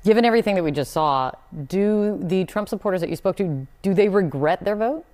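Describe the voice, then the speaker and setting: A woman's voice: medium pitch, lively intonation, a little fast, darkish tone. A woman anchoring a TV news show, questioning a correspondent in a friendly, conversational way. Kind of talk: broadcast news interview.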